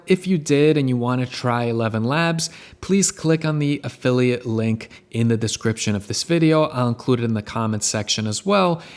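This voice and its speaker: Male tutorial host, medium pitch, conversational and instructional, walking viewers through software steps. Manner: conversational, explains step by step. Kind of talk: casual screen-share software tutorial.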